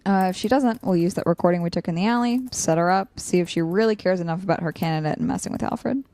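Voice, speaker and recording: A woman's voice: on the low side, fast, warm tone, no room sound, lightly acted. A woman playing an earnest, conflicted, loyal young student in a naturalistic audio drama, a little hesitant. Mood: relieved, casual.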